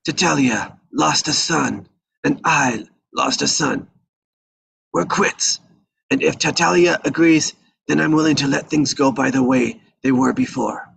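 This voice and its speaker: A man playing an aging, dignified mafia don in a live table read, with a gravelly, raspy voice. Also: medium pitch, a little slow, fairly bright tone, heavily acted, low-quality recording. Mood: solemn, conciliatory.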